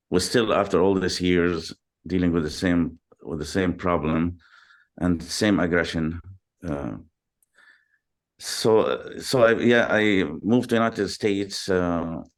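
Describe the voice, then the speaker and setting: A middle-aged man from Lebanon with a very low voice answers interview questions. He talks haltingly, full of fillers and repeated words. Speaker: guest. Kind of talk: video call interview.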